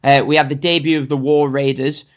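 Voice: mid-range voice, level tone, a little fast. Chatty podcast host. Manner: chatty, rundown of matches with asides to co-hosts. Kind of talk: casual wrestling podcast chat.

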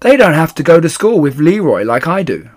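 Man putting on a pestering young schoolboy, raised pitch. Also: very animated, fairly quick, darkish tone. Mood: grumbling. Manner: insistent, repetitive questioning. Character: young schoolboy, whiny and pestering little brother.

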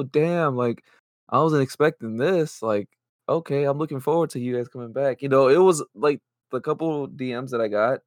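A man's voice: medium pitch, dry recording, clean studio sound. A man co-hosting a podcast in casual chat, talkative and joking, swearing casually. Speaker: podcast co-host.

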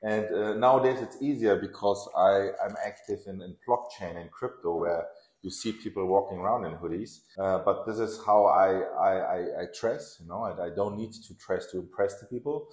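Low-voiced guy in his 40s, chatty, lots of restarts, roomy echo. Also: moderate pace. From Iran. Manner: relaxed, restarts often, laughs while telling stories. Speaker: podcast guest, lawyer. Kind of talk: casual interview.

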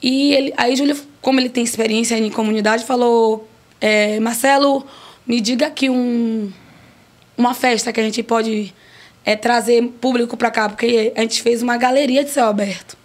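A woman, a restaurant owner telling her life story in a relaxed podcast interview, lively and full of jokes. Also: a little high, moderate pace. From Brazil. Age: 40s. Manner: lively, joking, emphatic storyteller.

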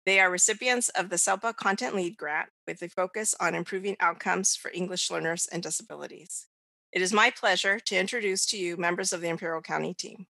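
Bright, gravelly-edged female podcast host. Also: fairly low pitch, fairly quick, no echo. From United States, child of Mexican immigrants. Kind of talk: prepared hosting introduction.

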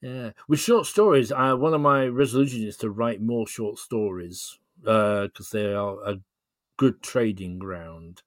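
Male podcast guest, fairly deep register, thoughtful and halting explainer, casual conversation, no room sound. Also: no echo, studio quality. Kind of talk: casual interview chat.

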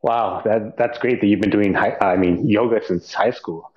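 A man's voice: fairly low pitch, fairly even intonation, normal speed, dull audio. A man interviewing a guest in casual conversation, friendly, with rambling questions and restarts.

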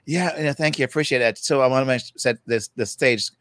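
A man's voice: mid-range voice, normal speed, a bit bright, dry recording. An older man with a Jamaican accent, a guest therapist talking in a chatty, easygoing way. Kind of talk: casual interview talk.

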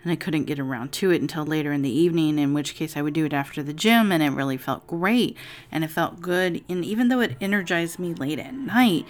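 A raspy-voiced woman in her forties talking casually in a rambling personal vlog at home, with many fillers. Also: low voice, a little fast. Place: home with TV music in another room.

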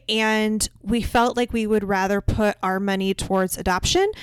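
A woman's voice: medium pitch, clean tone, no echo. A woman from central Illinois, a guest in an interview, talking in a chatty, upbeat way and laughing easily. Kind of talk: casual interview conversation.

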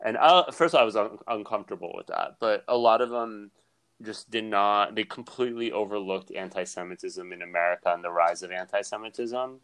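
Low-voiced man in earnest, rambling chat. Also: brisk, fairly bright tone, clear, resonant tone. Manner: earnest, rambling with many restarts. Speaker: podcast co-host. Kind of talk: casual political chat.

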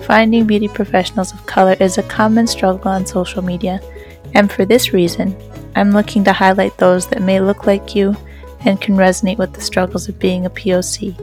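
A woman's voice: medium pitch, flat delivery, normal speed, darkish tone, faint music behind. A woman hosting a skincare podcast, reading a scripted intro and then chatting with a guest in an upbeat, enthusiastic way. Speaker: podcast host and esthetician.

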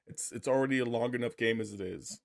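A man's voice: mid-range voice, fast talker, creaky, no room sound, studio quality. A man co-hosting a casual sports podcast who reads out trivia and argues playfully, repeating himself as he pushes a point. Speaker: podcast co-host, trivia segment.

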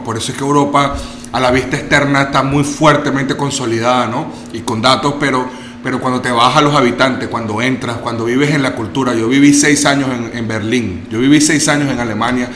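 A man's voice: medium pitch, a bit bright, reverberant, a little hiss or hum. A man from Venezuela, a guest in a casual interview, talking in a rambling way with many restarts and tag questions.

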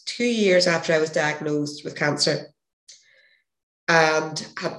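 A woman with a gravelly, hoarse voice, a podcast guest telling a rambling story and correcting herself as she goes. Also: sits low, slow pace, a bit bright. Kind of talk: casual interview storytelling. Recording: echoey room.

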